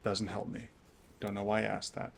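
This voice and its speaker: A man's voice: on the low side, completely flat delivery, moderate pace, gravelly, slight background noise. A man in his thirties co-hosting a casual guessing game, chatting in an easygoing, joking way. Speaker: co-host of a book channel.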